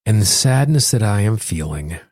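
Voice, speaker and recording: Male self-help coach and host, lower register, conversational and instructive, dry recording. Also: sing-song, normal speed, a little mellow, no echo. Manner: explanatory, instructing the listener directly. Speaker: podcast host and tapping coach. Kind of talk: conversational self-help talk and guided tapping exercise.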